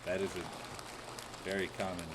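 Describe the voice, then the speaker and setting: A man live-streaming crude, loud, mocking reaction commentary from a home desk mic. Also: low voice, level tone, a little slow, heavy background noise.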